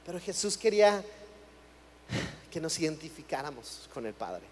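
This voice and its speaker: A man's voice: a little high, very animated, moderate pace, darkish tone, slight background noise. A young man from Chihuahua, Mexico, preaching a sermon in a church in a conversational way, often repeating words as he starts a phrase. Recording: long hall reverb.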